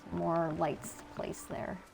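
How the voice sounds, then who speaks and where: A woman's voice: on the low side, flat delivery, unhurried, vocal fry, darkish tone, soft background music, low-quality recording. Chatty woman with a Connecticut accent. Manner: chatty, reads and answers chat, many fillers. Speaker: streamer. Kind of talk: casual live-stream chat while gaming.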